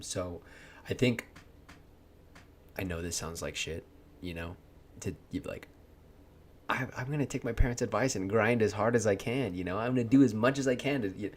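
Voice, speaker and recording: Low-voiced young man, rambling and earnest. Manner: rambling, earnest, swears often, laughs a lot. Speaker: content creator. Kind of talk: casual chat.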